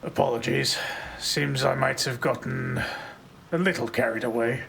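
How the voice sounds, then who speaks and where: An actor playing a brash, violent yet gruffly protective marshal in an audio drama, blunt and brusque in delivery. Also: male voice, mid-range voice, normal speed, a bit bright, a little hiss or hum, rough recording. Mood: gruff concern.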